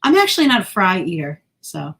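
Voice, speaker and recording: A woman's voice: on the low side, wildly swooping pitch, a little fast, gravelly, fairly bright tone. A woman co-hosting a podcast in casual chat, talkative and self-deprecating, sometimes tripping over her words. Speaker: podcast co-host.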